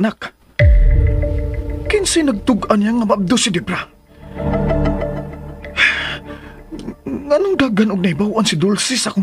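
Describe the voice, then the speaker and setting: An actor playing a troubled, defensive lover in a Filipino radio drama, speaking earnestly and emotionally. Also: male voice, high-pitched. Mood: brooding, suspicious. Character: troubled, defensive man torn between two loves.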